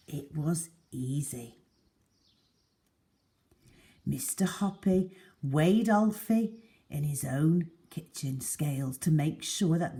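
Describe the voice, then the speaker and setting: A woman reading a children's story aloud as a storyteller, deliberate and dramatic in her delivery. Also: on the low side, dark tone.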